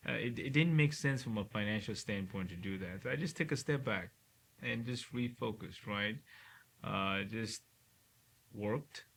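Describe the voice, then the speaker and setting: A middle-aged man from Cameroon, a neurosurgeon talking reflectively in an interview, often ending points with a quick "right?". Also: fairly low pitch, vocal fry, a bit bright, husky. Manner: reflective, frequent "right?" tag questions. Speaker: neurosurgeon guest. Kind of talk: relaxed interview conversation. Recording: faint hiss.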